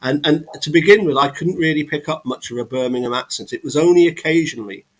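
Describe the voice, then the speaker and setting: Man from England, mid pitch, hesitant, lots of fillers, hosting a video call chat. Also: fast, poor audio. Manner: hesitant, many restarts and fillers. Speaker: host. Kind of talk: casual live chat.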